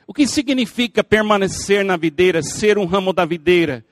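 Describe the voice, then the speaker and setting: A man's voice: sits high, normal speed, no room sound, studio quality. An older male pastor, a native English speaker, preaching a sermon in earnest with emphatic repetition and playful asides to the congregation.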